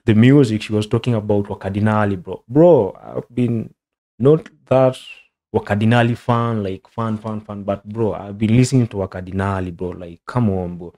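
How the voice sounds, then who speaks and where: Laid-back young Kenyan man, low mellow voice. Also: moderate pace. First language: not English. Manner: relaxed, says "bro" a lot, rambling. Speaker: content creator. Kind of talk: casual reaction commentary.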